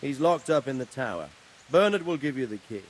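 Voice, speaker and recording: An actor playing an authoritative nobleman who gives brisk orders in a video game cutscene. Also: mid-range voice, animated, slight background noise, lightly acted. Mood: commanding. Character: commanding nobleman, the squire's lord.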